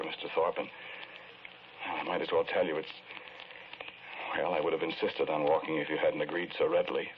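An actor playing a polite, pleading confessed murderer in a halting, hesitant manner, in 1940s radio drama style. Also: male voice, rumbling low. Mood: calm, courteous. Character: confessed murderer, polite, pleading, softly haunted man in handcuffs. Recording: muffled, noisy 1940s radio recording.

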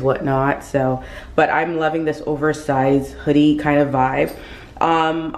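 Low-voiced woman vlogging, echoey hallway. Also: a little mellow. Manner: chatty, loose, many ums. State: losing her voice. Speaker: fashion vlogger. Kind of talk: casual outfit vlog. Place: hallway of a home. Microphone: camera held in mirror selfie. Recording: echoey hallway, faint ambience.

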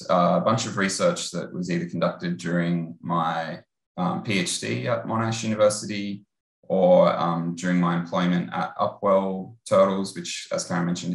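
A man in his thirties with an Australian accent, giving a relaxed online research talk over a video call. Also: deep voice, gravelly, reverberant. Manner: relaxed, conversational, with filler pauses. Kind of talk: online lecture presentation. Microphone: earbud headset on video call.

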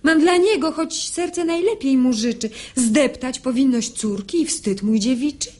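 A high-voiced, timid young daughter. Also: female voice, unhurried, a little mellow. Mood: plaintive, reproachful. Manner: plaintive, earnest, theatrical. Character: timid, lovelorn young daughter, plaintive and dutiful. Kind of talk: classical verse comedy, radio play acting.

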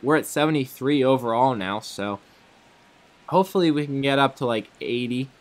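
A man's voice: mid-range voice, normal speed. A young man from the Pittsburgh area, a bit nasal while getting over a cold, chatting upbeat play-by-play over his baseball video game. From Pittsburgh area, USA. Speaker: gaming YouTuber. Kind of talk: gameplay commentary.

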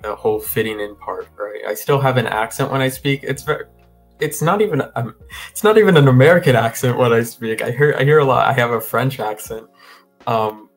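A young man being interviewed over a video call from a room at home, talking in a relaxed, rambling way with lots of fillers and restarts. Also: medium pitch. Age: young adult. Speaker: guest. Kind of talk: casual interview.

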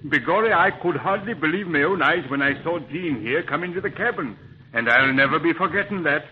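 An actor playing a plucky, good-natured Irish pilot in a 1930s radio serial, with a husky voice and a stage Irish accent. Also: male voice, fairly high pitch, lively intonation, a little fast, a little hiss or hum, lightly acted. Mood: hearty, relieved. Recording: tinny band-limited 1930s radio recording.

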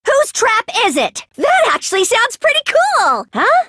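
A woman playing an excitable, dramatic, hot-blooded teenage girl martial artist in an emphatic anime video game style. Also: very high voice, a little slow, no room sound, studio quality, exaggerated voice. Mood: agitated, exclaiming. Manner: emphatic, exclamatory, melodramatic. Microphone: close studio mic, dry.

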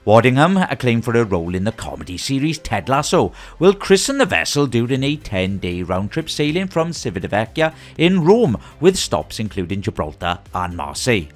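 A man presenting a scripted news roundup in a lively, sing-song delivery with rising greetings. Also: medium pitch, moderate pace, few pauses.

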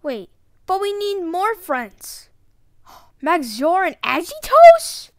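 Woman acting very high-pitched, exclamatory kid adventurer. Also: huge pitch swings, takes it slowly, no echo, studio quality, full character voice. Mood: puzzled, curious. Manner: exclamatory, wide-eyed. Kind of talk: video game voice lines.

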